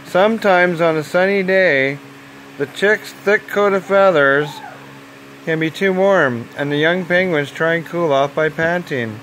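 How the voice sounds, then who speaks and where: A man narrating a children's nature story aloud in simple, deliberate phrases, as if at bedtime. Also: on the high side, a bit bright, slight background noise, low-quality recording.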